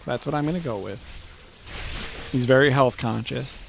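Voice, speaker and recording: A man co-hosting a gaming video with casual, tongue-in-cheek commentary and deadpan explanations. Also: medium pitch, moderate pace, vocal fry, music in the background. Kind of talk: casual bantering game commentary. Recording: faint room noise, game sounds behind.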